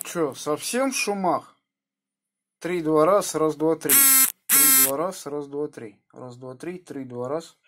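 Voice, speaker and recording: Higher-voiced man chatting on ham radio. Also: expressive, slow, very noisy. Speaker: amateur radio operator. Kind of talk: casual ham radio chat.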